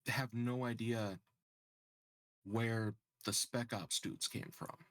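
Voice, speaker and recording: A man's voice: mid-range voice, steady tone, takes it slowly, a bit bright, dry recording. A man chatting casually as a player in a tabletop roleplaying game.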